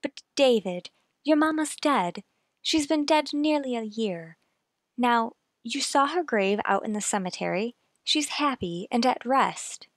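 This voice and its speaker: An actress plays a concerned woman in an old-fashioned radio drama. Her voice is fairly high, with a gentle, earnest and soothing manner. It is recorded dry. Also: expressive, measured pace, no room sound. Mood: gentle, persuading. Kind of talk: old-time radio play acting.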